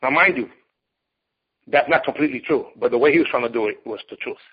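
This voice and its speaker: A man's voice: mid-range voice, fast talker, slightly rough. A man in his late forties, a martial arts competitor and teacher, speaking bluntly and emphatically in an interview as he tells his story. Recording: dull lo-fi recording.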